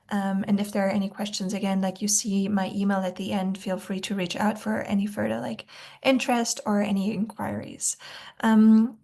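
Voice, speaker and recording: A woman's voice: mid-range voice, a little mellow. A middle-aged woman with an Austrian German accent, presenting a nutrition webinar over a video call in a hesitant way, full of "um" and "like" fillers. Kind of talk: informal online presentation.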